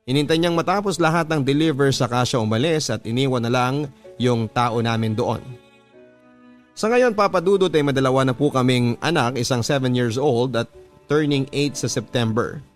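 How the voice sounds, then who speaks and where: Man, Filipino accent, mid-pitched voice, earnest letter narration, radio drama host, music bed. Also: moderate pace. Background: background music.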